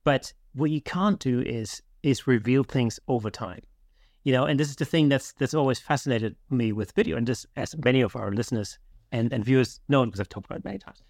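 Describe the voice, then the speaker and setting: A man's voice: medium pitch, brisk, a little mellow, no echo, clean studio sound. A middle-aged man chatting casually as a podcast host, circling back over his phrases as he talks. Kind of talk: casual conversational chat.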